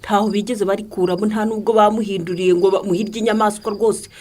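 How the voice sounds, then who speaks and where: A woman's voice: mid-range voice, steady tone, quick pace, a little mellow, lightly acted. A woman playing a frank village woman in a radio drama, correcting an elder in a teasing, reassuring way. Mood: reassuring, insistent.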